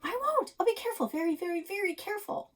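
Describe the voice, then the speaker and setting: Woman playing an insistent, high-pitched pleading kid. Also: animated, fast talker, a bit bright. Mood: pleading, indignant. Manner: insistent, emphatic repetition. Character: pleading, indignant child, eager to drive.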